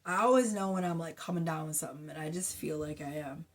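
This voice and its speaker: A woman giving a casual tarot reading to her viewers, blunt and profane in her chatty way, talking through a sore, slightly hoarse throat. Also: sits low, quick pace, noisy background. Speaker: tarot reader.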